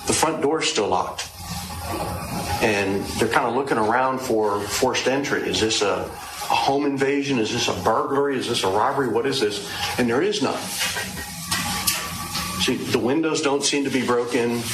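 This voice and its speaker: A man giving an unscripted courtroom statement as a lawyer, conversational and halting, repeating phrases and posing rhetorical questions. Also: mid-range voice. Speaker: trial lawyer addressing a jury. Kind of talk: extemporaneous courtroom opening statement. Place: indoors, large echoey courtroom. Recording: echoey, noisy courtroom audio.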